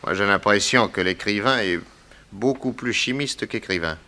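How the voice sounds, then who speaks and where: A man's voice: on the low side, moderate pace, pushed and bright. A man playing a shrewd, probing police detective with a wry, insistent manner in a 1960s French radio drama. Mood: wry, suspicious. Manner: inquisitive, wry, casually insistent.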